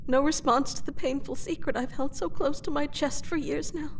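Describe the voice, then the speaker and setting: A woman playing a proud, lovelorn captured royal in a fantasy audio drama, speaking in formal, poetic, halting phrases that trail into breathy whispers. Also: high voice, fairly even intonation, slight background noise. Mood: wounded, bitter. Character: captured royal woman, proud, anguished, lovelorn, confessing under truth potion.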